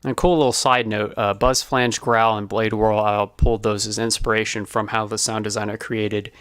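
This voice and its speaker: A man presenting an informal behind-the-scenes explainer as a sound designer, speaking in a casual, halting way. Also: on the low side, flat delivery, fairly bright tone, slight background noise.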